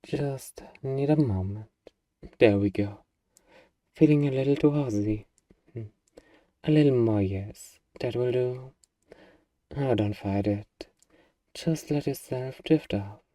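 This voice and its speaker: Man acting as an eager demon, dark mellow voice. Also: mid-range voice, takes it slowly, pauses often, no room sound, far from the mic, lightly acted. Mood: soothing. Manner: wheedling, playfully earnest, salesman-like. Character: eager, bargaining male demon craving a cuddly human pet. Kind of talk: ASMR roleplay, acted monologue to the listener.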